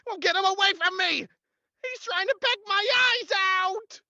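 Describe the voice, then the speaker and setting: Man performing exasperated nephew, melodramatic, sharp tone, dry sound, vintage horror radio acting. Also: very high voice, moderate pace, gravelly, no room sound, dull audio, exaggerated voice. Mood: panicked. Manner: pleading, indignant, melodramatic. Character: desperate, exasperated nephew in money trouble.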